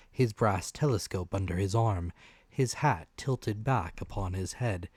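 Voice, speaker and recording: A man giving a dramatic, deliberate audiobook narration of a classic adventure tale, in expressive storytelling style. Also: mid-range voice, dry recording, studio quality.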